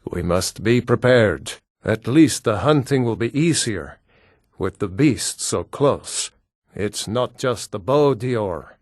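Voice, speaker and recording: A Nord warrior of a fantasy game, grave and proud. He speaks slowly and formally, weighing each declaration. The voice sits at a middling male pitch in a very dry studio sound. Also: no echo. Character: gruff Nord warrior and hunter, solemn, proud kinsman. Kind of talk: scripted video game character dialogue.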